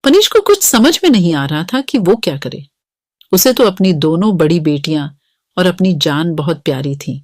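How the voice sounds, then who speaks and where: Female narrator for children's moral stories: expressive melody, scripted delivery, dry close recording. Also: on the low side, moderate pace, dry recording.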